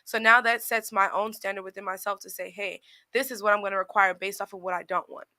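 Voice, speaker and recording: Bright-voiced woman in casual debate. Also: mid-range voice, steady tone, quick pace, no room sound. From outside the United States. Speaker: panel guest. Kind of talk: casual debate, personal opinion.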